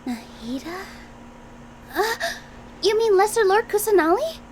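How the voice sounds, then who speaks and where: A woman playing a gentle, earnest young dancer in an anime video game, sincere and apologetic in manner. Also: extremely high pitch, very animated, unhurried, slight background noise, exaggerated voice. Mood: flustered, modest. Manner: soft-hearted, apologetic, sincere.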